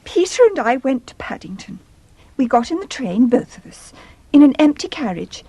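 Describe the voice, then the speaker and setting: High, husky, indignant young English wife. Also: female voice, sing-song, moderate pace, mellow, no room sound, heavily acted, poor audio. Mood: aggrieved, dramatic. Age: young adult. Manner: dramatic, plaintive, indignant. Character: indignant, distressed young English wife, well-bred daughter. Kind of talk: stage farce acting for radio.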